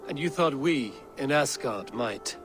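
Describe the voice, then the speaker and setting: A man playing a stern, wary Norse high god in a video game cutscene, speaking in a measured, authoritative and formal way. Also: expressive, over music, close to an everyday voice. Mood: stern questioning.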